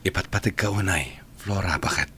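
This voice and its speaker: A man playing a devoted, worried husband in a radio drama, gentle and earnest. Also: low-pitched, moderate pace, slight background noise. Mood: gentle, caring. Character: devoted, worried husband caring for his sick wife. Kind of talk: radio drama acting in Ilocano.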